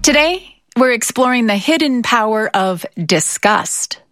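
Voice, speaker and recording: A woman from Utah hosting a podcast, narrating a script in a clear, measured way and putting questions to guests. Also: on the high side, lively intonation, fairly bright tone, soft background music. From Utah, United States. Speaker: podcast host.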